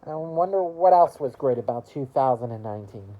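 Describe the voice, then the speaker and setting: A woman in her early twenties chatting casually to camera about her year, rambling and halting, with lots of "you know" fillers. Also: rumbling low, animated, muffled recording. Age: early 20s. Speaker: vlogger.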